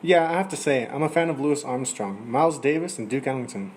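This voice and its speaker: A man's voice: mid-range voice, brisk, a little mellow, natural voice. A man playing a polite, enthusiastic aspiring musician in a 1920s radio interview. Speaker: musician guest. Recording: noisy amateur recording.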